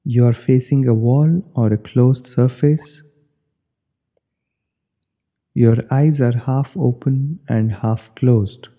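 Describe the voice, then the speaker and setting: A man leading a guided meditation as an instructor, giving measured, step-by-step directions. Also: mid-range voice, mellow. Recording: long hollow reverb.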